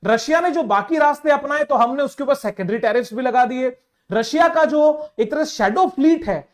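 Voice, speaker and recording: A woman's voice: sits high, expressive, normal speed. A woman delivers political commentary. She explains step by step, posing rhetorical questions and stressing her points to the listener. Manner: emphatic, rhetorical questions, addresses listener as sir. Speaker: current affairs commentator.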